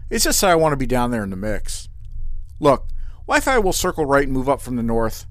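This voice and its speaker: A man's voice: medium pitch, very animated, fairly quick, no echo, natural voice. Man as a wry starship captain, dry sound. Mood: restless, brooding. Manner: casual, matter-of-fact, dry. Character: restless, wry male starship captain itching for action. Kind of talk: scripted sci-fi audio drama.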